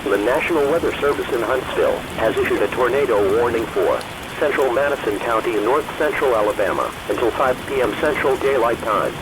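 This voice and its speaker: Stern emergency alert announcer, played by a man, mid-pitched, impersonal and measured, with clear rain noise. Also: expressive, natural voice. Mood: stern, official. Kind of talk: formal scripted warning bulletin. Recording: rain and noise over the bulletin.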